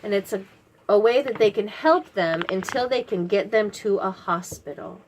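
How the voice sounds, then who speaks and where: A woman's voice: mid-range voice, moderate pace, background noise. A woman hosting a children's read-aloud, explaining a picture book to little ones in simple, instructive phrasing with questions to the listener.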